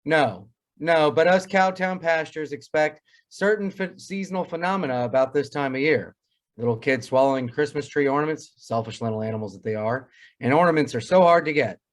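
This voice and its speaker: A man's voice: a little high, fairly quick, natural voice. A man playing a wry, pompous family doctor in old-time radio comedy, wordy and drily sarcastic. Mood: mock lament.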